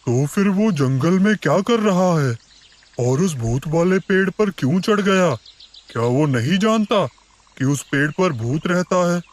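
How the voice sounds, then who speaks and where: A man's voice: high-pitched, lively intonation, a little slow. A man voicing an earnest, easily scared bear in a cartoon forest story.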